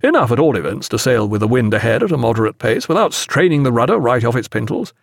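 A man's voice: mid-range voice, big pitch swings, fast, gravelly, no echo, studio quality. Man playing a hearty, blustering navy captain. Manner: exclamatory, old-fashioned naval turns of phrase.

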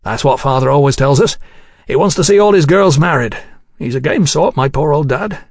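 A man's voice: fairly high pitch, lively intonation, fairly quick, a little mellow, no echo, clean studio sound. Man as a sceptical, learned English scientist. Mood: plain, friendly.

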